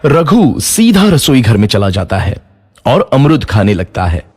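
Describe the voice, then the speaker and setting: A man's voice: mid-range voice, big pitch swings, unhurried, a little mellow, husky. A man narrating a scripted moral story for children.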